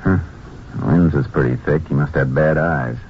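An actor playing a calm, businesslike male Texas Ranger, laconic and matter-of-fact, in a western radio drama. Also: very deep voice, moderate pace. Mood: calm, inquiring. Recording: muffled, hissy 1950s radio recording.

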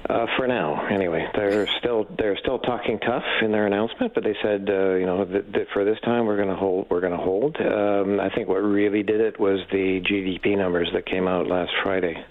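Male expert guest for a radio interview: low-pitched, gravelly, hesitant, thinking aloud in stammered phrases. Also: steady tone, narrow, tinny audio. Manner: hesitant, halting, frequent stammered repeats. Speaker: economics professor, expert guest. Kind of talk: unscripted radio interview answers.

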